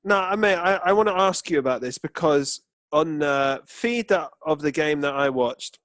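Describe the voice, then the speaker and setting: A man's voice: a little high, normal speed, fairly bright tone, no echo. A man co-hosting a football podcast, chatting casually with emphatic, opinionated and sweary banter.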